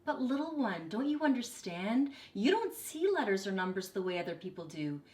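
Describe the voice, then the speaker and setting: A woman voicing a kind, gentle male schoolteacher in a children's picture book, speaking earnestly and reassuringly. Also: mid-range voice, very animated, moderate pace, natural voice. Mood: tender, reassuring.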